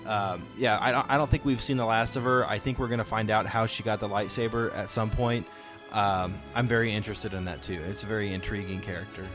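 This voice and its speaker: A man with a lowish voice hosts a relaxed fan discussion. He explains his ideas with emphasis and likes to pose questions and answer them. Light music plays behind him. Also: fairly quick. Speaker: podcast host.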